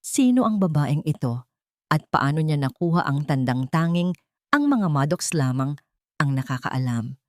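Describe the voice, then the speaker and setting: A woman's voice: deep voice, big pitch swings, normal speed, no room sound. A woman narrating a scripted audio drama story in a dramatic storytelling style.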